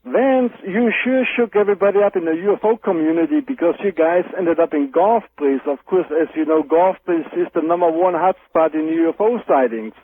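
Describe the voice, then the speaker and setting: A man's voice: on the high side, fairly quick, few pauses. A man calling a radio show with casual remarks and questions. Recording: tinny narrow phone line.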